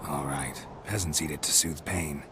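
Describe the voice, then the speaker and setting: Deep, gravelly, terse monster hunter. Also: male voice, normal speed, background noise. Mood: calm, reasoning. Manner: terse, understated, deadpan. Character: gruff, laconic male monster hunter with heightened senses. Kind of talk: fantasy video game dialogue. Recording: game music behind the voice.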